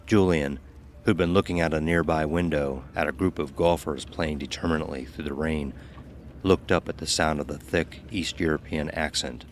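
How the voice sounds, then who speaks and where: A man's voice: rumbling low, fairly even intonation, normal speed, faint music behind. A man reading scripted story narration as the narrator of an audio drama.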